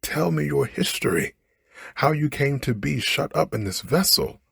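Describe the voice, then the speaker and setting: A man reads out the part of a poor storybook fisherman, full of lament and appeal. The voice is very breathy and husky, with big rises and falls. The speech is archaic and dramatic, recorded close and dry. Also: mid-range voice, expressive, moderate pace, fairly bright tone, no echo, pitched above the performer's own voice, full character voice. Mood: bold, indignant. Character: poor fisherman, lamenting and pleading, bold when startled. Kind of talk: storybook character read aloud.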